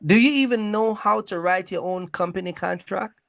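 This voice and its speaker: A man hosting an animated advisory conversation about business, speaking emphatically, repeating himself and often checking in with questions. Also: sits high, moderate pace, creaky, no room sound. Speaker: host giving business advice to truck owners.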